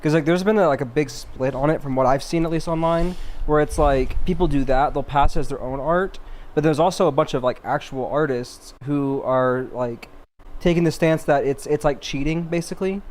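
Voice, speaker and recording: A man's voice: fairly high pitch, moderate pace, a little mellow. A young man co-hosting a podcast in casual, slangy banter with some swearing. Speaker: podcast co-host.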